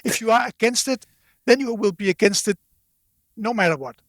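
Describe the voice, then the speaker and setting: A man speaking as an interview guest in casual conversation, haltingly, repeating words as he thinks aloud. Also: sits high, no room sound, studio quality. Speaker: podcast guest from a loudspeaker company.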